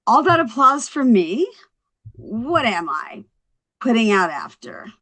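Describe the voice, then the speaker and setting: A witty young married woman in a comedy-drama read. Her delivery is wry and theatrical, with very animated intonation. The sound is dry and close. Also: a little high, slow, dry recording, exaggerated voice. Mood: wry, playful. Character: quick-witted, perky young married woman with comic flair.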